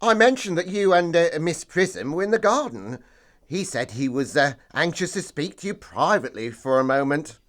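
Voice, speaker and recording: A deferential butler played by a man in an audio stage comedy. He speaks haltingly, his pitch moving up and down in a lively way. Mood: deferential, hesitant. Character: deferential, hesitant household butler, male.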